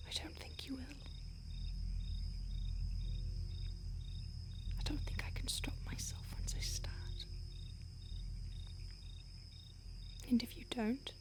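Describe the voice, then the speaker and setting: A woman voicing a starved, conflicted female vampire who stalks and craves her listener, speaking in a soft intimate whisper. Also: sits high. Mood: craving, apologetic. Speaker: audio roleplay performer. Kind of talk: whispered audio roleplay.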